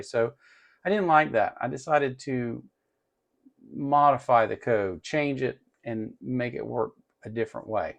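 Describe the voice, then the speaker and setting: A man's voice: medium pitch, creaky. A man hosting an electronics tutorial in a casual, unscripted way, explaining step by step as he works and often repeating himself.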